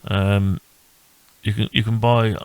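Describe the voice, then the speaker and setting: A man giving a casual, unscripted walkthrough of a hobby build, thinking aloud in a halting, rambling way. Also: on the low side, level tone, clean tone, dry recording, rough recording. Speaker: electronics hobbyist presenting own build.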